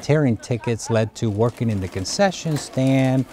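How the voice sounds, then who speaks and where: A man in his fifties with a Cuban Spanish accent, telling his story in a measured, reflective way in a sit-down interview. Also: mid-range voice, background noise. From Cuba. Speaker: interviewee, business executive. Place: empty movie theater, indoors. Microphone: lapel mic, close framing.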